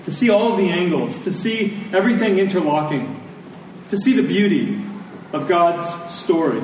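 A very reverberant room carries this American man's voice, picked up at some distance with faint noise behind it. He is a pastor giving a sermon in a conversational manner, breaking his thoughts into short, deliberate phrases. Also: sits high, lively intonation, a little mellow. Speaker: pastor preaching. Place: large church meeting room with congregation. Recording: echoey hall, distant mic, faint noise.

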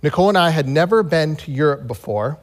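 A man's voice: fairly high pitch, lively intonation, quick pace. An American man preaching as a pastor, moving between scripture reading and personal storytelling. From United States. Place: large reverberant room, speaking to a congregation. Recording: long hall reverb.

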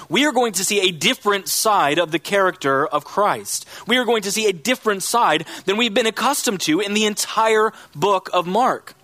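A man preaching as pastor, reading scripture and praying in an earnest, measured way, with a cough. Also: high-pitched, sharp tone, no room sound. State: has a cough. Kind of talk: sermon with scripture reading and prayer.

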